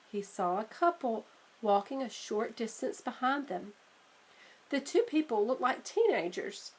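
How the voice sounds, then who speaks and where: A woman narrates a children's storybook as a read-aloud. Her voice sits fairly high and she takes her time, with measured, expressive phrasing. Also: poor audio. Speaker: teacher reading aloud.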